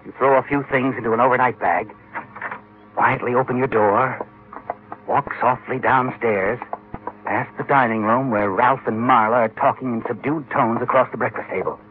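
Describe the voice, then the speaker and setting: A man giving measured, suspenseful narration in a vintage radio drama style. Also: medium pitch, fairly even intonation, slight background noise. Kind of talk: dramatic second-person 1940s-50s radio narration. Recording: tinny old radio recording with faint music.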